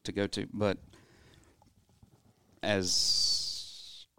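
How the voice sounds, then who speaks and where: A man about fifty, a dentist guest, answering in a halting, earnest way with many fillers. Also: fairly low pitch, flat delivery, creaky, pushed and bright, smooth. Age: 50s. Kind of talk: casual interview answers.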